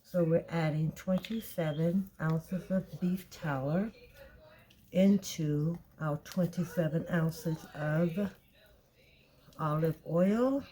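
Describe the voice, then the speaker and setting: Slow, raspy-voiced woman making soap. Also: low-pitched, warm tone. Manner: slow, chatty, thinking aloud while weighing. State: tired voice, clearing throat. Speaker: soap maker. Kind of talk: casual step-by-step tutorial.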